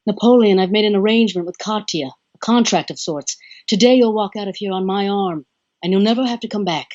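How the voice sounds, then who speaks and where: A woman playing a courtly, devoted immortal lover in an audio drama, gentle, formal and coaxing with a possessive edge. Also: medium pitch, a little fast, close to an everyday voice. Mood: earnest, determined. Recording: muffled audio, faint music behind.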